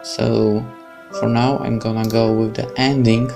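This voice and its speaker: Slow, gravelly Polish-accented young man. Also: medium pitch, flat delivery, music in the background. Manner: slow, chatty, stumbling over words. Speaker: let's play host. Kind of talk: reading a visual novel aloud with commentary.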